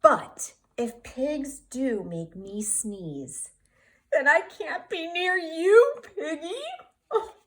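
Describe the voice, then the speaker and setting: A woman voicing an anxious, dramatic male elephant who wails and sneezes loudly. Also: sits high, animated, very slow, exaggerated voice. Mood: woeful.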